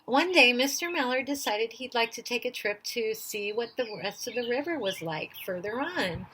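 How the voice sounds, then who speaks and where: A woman reading a children's picture book aloud in an expressive way, with little asides to her listeners. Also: on the high side, normal speed. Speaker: storybook reader. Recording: noisy recording with chirping birdsong.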